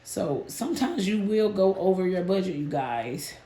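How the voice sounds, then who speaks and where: A woman, hoarse and a bit nasal from a sinus illness, chatting casually with her viewers about her budget. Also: fairly low pitch, big pitch swings, normal speed, warm tone, reverberant. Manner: chatty, addresses viewers as "y'all". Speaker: budgeting channel host.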